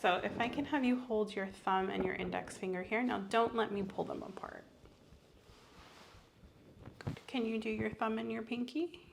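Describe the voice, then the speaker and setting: A soft-spoken woman playing a medical examiner in an ASMR roleplay, gently giving instructions and encouragement. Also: on the high side, steady tone, moderate pace, gravelly, long pauses, noisy background.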